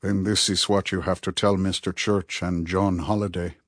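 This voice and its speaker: A man voicing a thoughtful, probing male therapist and devoted friend in an audiobook, speaking in a measured, earnest, deliberate way. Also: fairly low pitch, lively intonation, dry recording, clean studio sound. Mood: hushed, grave. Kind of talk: audiobook character dialogue.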